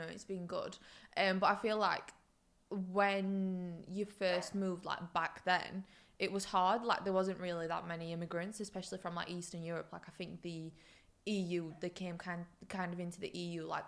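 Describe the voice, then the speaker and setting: Bubbly woman in her twenties, Polish-born, with a creaky, fry-heavy voice. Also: fairly low pitch, a bit bright. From Poland. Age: 20s. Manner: bubbly, laughs often, quick asides. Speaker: guest. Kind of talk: casual chat.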